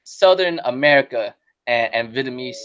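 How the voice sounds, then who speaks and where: Brief: easygoing male co-host, mid pitch, muffled lo-fi mic, street slang. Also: expressive, normal speed, a bit bright, a little hiss or hum. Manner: laid-back, slangy, repeats "you know what I'm saying". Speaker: podcast co-host. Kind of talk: casual group chat. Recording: dull lo-fi mic.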